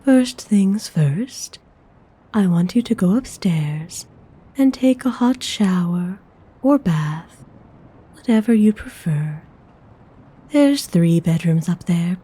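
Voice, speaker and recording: Woman playing a breathy, slow-speaking vampire noblewoman. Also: on the low side, lively intonation, exaggerated voice. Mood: hospitable, instructing. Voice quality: soft, breathy, mostly whispered. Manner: genteel, unhurried, formal wording. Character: courteous, commanding vampire noblewoman, doting on her human. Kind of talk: ASMR roleplay.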